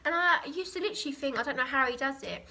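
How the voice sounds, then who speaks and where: A young British woman chatting casually and telling an anecdote in a giggly, animated way. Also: sits high, quick pace, slight background noise. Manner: giggly, animated storytelling with acted quotes.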